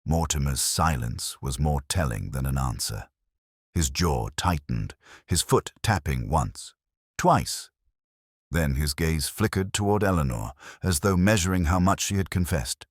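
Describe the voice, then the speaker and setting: A man narrates a detective story in an extremely low voice. The delivery is scripted and literary, with lively, swinging intonation. The sound is dry, with very little room in it. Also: unhurried, breathy edge, no echo.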